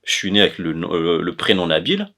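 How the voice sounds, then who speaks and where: Low-voiced, halting man explaining. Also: very animated, creaky, pushed and bright. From Comoros. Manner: hesitant, halting, often correcting himself. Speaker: presenter of an educational chronicle on language. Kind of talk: informal explanatory lecture.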